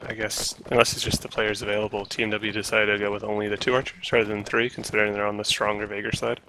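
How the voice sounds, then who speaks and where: A man giving play-by-play commentary on a live online game match, relaxed and hesitant, with many fillers. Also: on the low side, quick pace, noisy background. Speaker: esports commentator.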